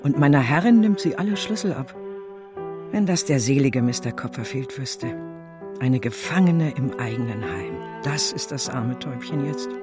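A woman's voice: extremely low pitch, lively intonation, normal speed. An actress playing a devoted, plain-spoken servant woman in a German radio drama, homely, fretful and affectionate. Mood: bitter, indignant. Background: music.

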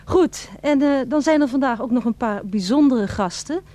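An actress playing a schoolmarmish presenter, polite but bossy, narrating a satirical radio play. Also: female voice, high voice, lively intonation, moderate pace, warm tone. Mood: exasperated. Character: brisk, schoolmarmish female presenter, easily exasperated.

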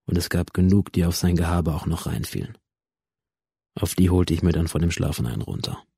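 An actor playing a bitter, cynical young man, a dead gunman living on as a simulation, in a deadpan, sardonic radio drama monologue. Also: sits low, brisk, vocal fry, husky, no echo, clean studio sound, heavily acted. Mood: cynical, detached. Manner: deadpan, sardonic, crude slang.

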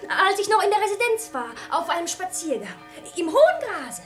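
An actress playing a quick-witted, pert young daughter, glib and coyly evasive, in theatrical radio comedy style. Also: female voice, extremely high pitch, big pitch swings, fairly bright tone, soft background music, heavily acted. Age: young adult.

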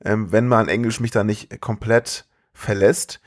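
A man's voice: fairly low pitch, steady tone, measured pace, dry recording. Slangy man chatting, dry close sound. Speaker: podcast co-host. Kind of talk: casual film podcast chat.

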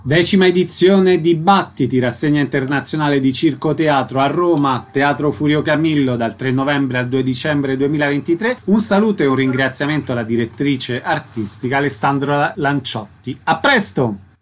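A man's voice: fairly high pitch, normal speed. A man speaking as a radio interviewer, delivering scripted introductions and questions.

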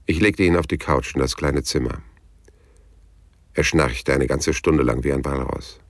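An actor playing a hard-boiled private detective who narrates a radio crime drama in a measured, sober, laconic way. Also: male voice, very deep voice, gravelly, no echo, full character voice. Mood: sober, reflective. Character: hard-boiled male private detective recounting his story. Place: dry studio.

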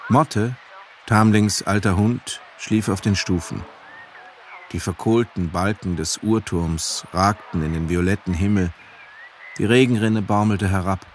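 A man's voice: lowish voice, a little slow, slight background noise, natural voice. An actor narrating a radio drama as a grown son soberly recalling his parents. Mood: somber, reflective.

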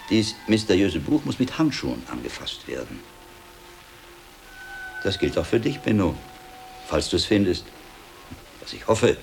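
An actor playing a sharp-minded, impatient medieval scholar monk in a German radio drama, reasoning aloud with deliberate emphasis. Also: male voice, sits low, gravelly, heavily acted, poor audio. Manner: deliberate reasoning aloud, given to exasperated outbursts.

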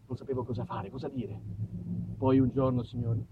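A man's voice: mid-range voice, fairly even intonation, brisk. A man narrating a theatrical comic monologue, addressing listeners directly with dramatic emphasis. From southern Italy. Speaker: storyteller, solo stage performer. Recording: slightly muffled, faint background noise.

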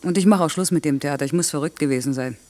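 A woman's voice: low-pitched, rapid-fire, warm tone, lightly acted. A woman in a German radio play acting a proud, disheartened worker with a curt, guarded way of talking. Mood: bitter. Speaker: actor.

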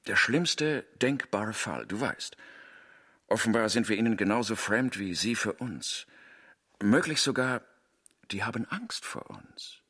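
A suspicious male astronaut in a radio drama. His voice is lowish and husky, with a tense edge. He pushes his schemes insistently and conspiratorially, with lively swings in pitch. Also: a little slow, exaggerated voice. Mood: brooding. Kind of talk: German radio play acting.

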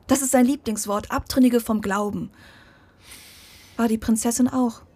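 An actress playing an earnest, outspoken young woman in a naturalistic radio drama, with a husky, breathy voice. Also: fairly high pitch, fairly even intonation, normal speed, mellow. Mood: troubled, confiding. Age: young adult. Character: earnest, outspoken young woman worried about her brother.

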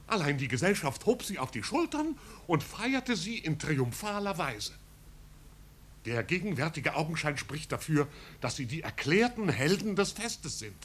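An actor playing a pompous, dutiful servant of a marquis in a 1950s radio play, speaking in stilted, long-winded, ceremonious phrases. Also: male voice, a little high, very animated, normal speed, a bit bright. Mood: indignant reporting. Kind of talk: 1950s German radio drama acting. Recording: 1950s radio recording with hiss.

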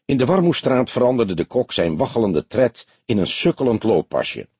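A man reads a scripted detective novel as an expressive audiobook narrator. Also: mid-range voice, moderate pace, breathy edge, no room sound. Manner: expressive storytelling with acted dialogue.

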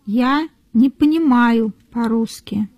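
A woman reading model sentences for learners in a careful, deliberate way, repeating each phrase. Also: a little high, a little mellow, no room sound, highs cut off. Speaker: native-speaker model reader.

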